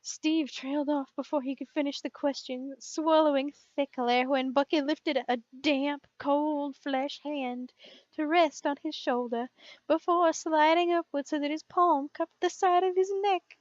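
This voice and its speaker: A woman with a high voice reads a piece of fan fiction aloud. She sounds amused by what she is reading. The recording is close and dry but dull and lo-fi. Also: fairly even intonation, measured pace, dry recording.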